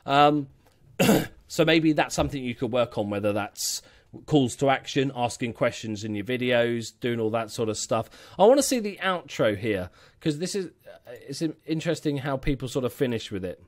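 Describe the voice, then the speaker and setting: A man's voice: mid-range voice, expressive, moderate pace. A man giving a casual, rambling critique of a gaming channel as a YouTube coach, often correcting himself mid-sentence. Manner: rambling, self-correcting, chatty. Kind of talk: casual screen-share critique.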